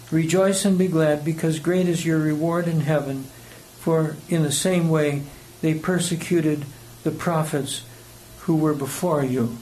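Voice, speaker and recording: High-voiced American man preaching, hesitant. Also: a little mellow, poor audio. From United States. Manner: hesitant, rambling, chuckles between phrases. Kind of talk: conversational sermon with personal anecdotes. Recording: clear background noise.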